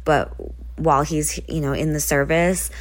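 Young woman from hawaii, singer guest, soft-spoken, hesitant, slightly low voice. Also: fairly even intonation, moderate pace. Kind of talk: casual interview chat.

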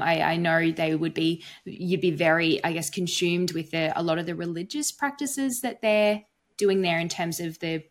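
A woman with a somewhat low-pitched voice hosts an interview. She talks in a conversational way and frames long, winding questions for her guest. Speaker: show host, interviewer. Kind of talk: interview hosting.

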